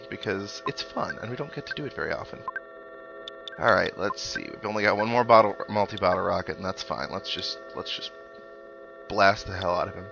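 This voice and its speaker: A man's voice: fairly low pitch, vocal fry. A man giving casual video game commentary, thinking aloud with analytical asides as he plays. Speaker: let's player. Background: video game music and sound effects.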